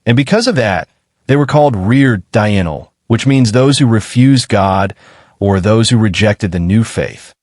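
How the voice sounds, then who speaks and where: A man hosting and narrating a documentary, reading a measured, explanatory script and addressing viewers directly. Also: on the low side, expressive, darkish tone, no echo, studio quality.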